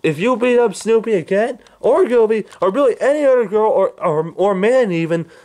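A man voicing an angry, protective young man who yells and scolds, repeating himself. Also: high voice, lively intonation, moderate pace, voice pushed up. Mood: threatening.

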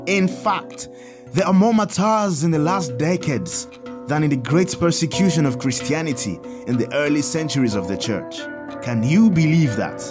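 Actor as a lively African priest, music behind. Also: male voice, fairly high pitch, normal speed, close to an everyday voice. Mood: earnest teaching. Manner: earnest, didactic, emphatic. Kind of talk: audio drama for children.

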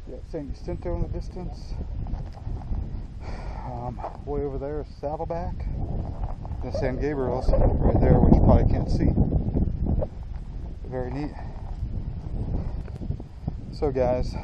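A man in his thirties with an American accent, chatting casually and off the cuff to an action camera at arm's length, in a laid-back, plain-spoken way with pauses mid-phrase. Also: medium pitch, steady tone, muffled recording. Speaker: outdoor vlogger. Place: outdoors on an open hilltop trail. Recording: noisy outdoor action-camera audio.

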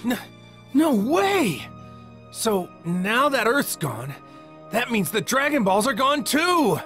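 An earnest, boyish martial arts hero from a video game. The delivery is highly animated and the tone bright and forceful, with faint music behind. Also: female voice, slow pace, exaggerated voice. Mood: dismayed, puzzled.

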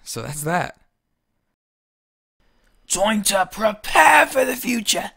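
Guy playing tired sardonic teen boy, audio drama, slow n creaky, kinda reluctant. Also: sits high, sing-song, pushed and bright, full character voice. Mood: dry. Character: weary, sardonic teenage schoolboy dropping out.

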